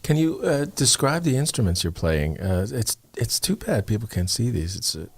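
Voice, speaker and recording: Radio host guy in a studio, excited, keeps restarting his sentences. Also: on the low side, moderate pace, slightly rough. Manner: enthusiastic, stammering restarts. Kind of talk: casual interview chat. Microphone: studio microphone with headphones.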